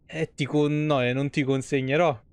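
A man's voice: a little high, fairly even intonation, moderate pace, clear, resonant tone, no room sound. A man in his thirties giving casual, chatty live gameplay commentary in an informal way. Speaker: game streamer. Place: small indoor room.

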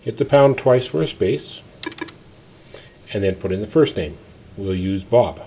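A man narrating a step-by-step tutorial as an instructor, often in short, halting instructional phrases. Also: fairly low pitch, animated. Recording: tinny phone-like audio, clear noise.

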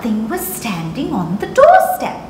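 A woman's voice: on the high side, wildly swooping pitch, normal speed, echoey room, noisy background. A middle-aged woman, reading expressively to children as a storytelling host. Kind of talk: expressive read-aloud for children. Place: living room sofa, indoors. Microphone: lapel mic.

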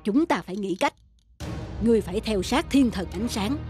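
A woman's voice: mid-range voice, animated, moderate pace, over music, close to an everyday voice. A woman voicing a scheming, jealous female angel of darkness in a cartoon, with theatrical delivery. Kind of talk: dubbed cartoon acting.

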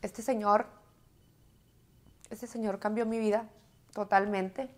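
A woman's voice: mid-range voice, level tone, slow. A woman in her thirties giving a personal account in an interview in a living room. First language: Spanish.

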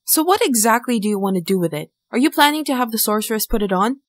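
A woman's voice: on the high side, lively intonation, fast talker, no echo, studio quality. A woman voicing a strict, responsible young military instructor in a video game fan dub. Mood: stern, impatient.

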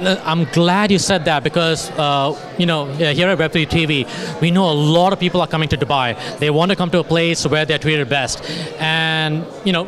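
A man in his forties hosting a conference interview, hyped up and stammering through repeated words, speaking into a handheld mic. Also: a little high. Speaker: host. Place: conference hall, in front of a banner wall. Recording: busy conference crowd behind. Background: crowd chatter.

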